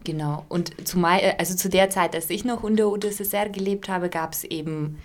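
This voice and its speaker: A woman from Ukraine with a somewhat low voice. She answers questions casually and thinks aloud as she goes, pausing often and restarting her sentences. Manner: thoughtful, hesitant, many fillers and restarts. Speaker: interviewee.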